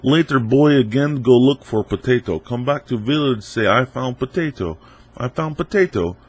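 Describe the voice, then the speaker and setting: Guy with a cold doing a latvian accent, grim village joke teller, broken english, kinda higher and louder. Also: lively intonation, normal speed, breathy edge. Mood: deadpan grim. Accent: put-on Latvian accent. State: stuffy head cold. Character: grim adult male Latvian villager telling a folk joke.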